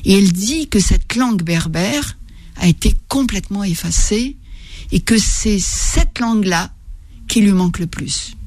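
An older woman from Algeria, a writer, talking slowly and reflectively in an interview, often stopping to search for her words. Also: medium pitch, lively intonation, a little mellow, no room sound. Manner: halting, searching for words, many restarts.

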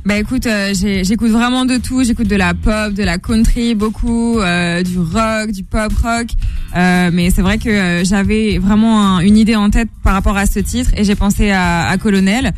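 A woman with a slight American accent, a pop singer speaking softly and hesitantly as a radio guest. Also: mid-range voice, steady tone, moderate pace, faint music behind. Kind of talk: casual radio interview.

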